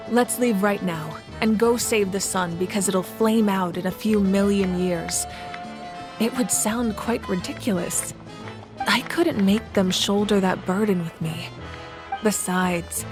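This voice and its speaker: A woman's voice: medium pitch, natural voice. Composed, resolute female war leader, voiced by a woman, music behind. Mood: reflective, calm. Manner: composed, measured, earnest, formal. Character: noble, resolute female war leader of a nation, calm and dutiful. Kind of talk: scripted video game dialogue.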